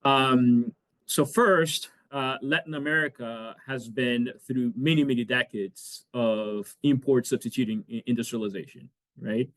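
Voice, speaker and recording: A man's voice: mid-range voice, unhurried, fairly bright tone. A man in his forties from Brazil, an economics professor giving an academic lecture over a video call, with frequent fillers and restarts. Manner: hesitant, many fillers and restarts. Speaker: economics professor, guest speaker.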